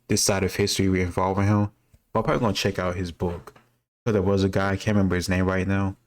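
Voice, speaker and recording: Casting: man with a low, flat voice, casual and rambling, reasons out loud and freely admits gaps. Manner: thinks aloud, candid about not knowing. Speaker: quiz-taker sharing his own commentary.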